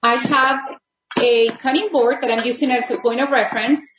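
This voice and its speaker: A woman's voice: fairly high pitch, fairly even intonation, moderate pace, noisy background. Chatty woman teaching in an echoey kitchen. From Texas border region. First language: Spanish. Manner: friendly, chatty, many fillers and restarts. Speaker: rehabilitation teacher, guest. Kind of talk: cooking demonstration lesson. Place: home kitchen.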